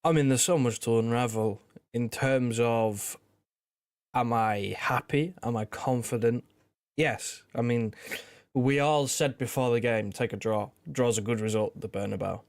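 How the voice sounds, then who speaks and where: A man's voice: mid-range voice, measured pace. A man co-hosting a casual football discussion, talking things through and answering his own questions. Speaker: livestream co-host.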